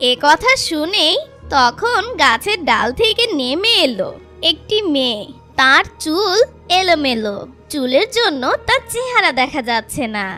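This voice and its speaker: A woman narrating a scripted children's story in a dramatic, expressive way, with music behind her. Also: high-pitched, unhurried.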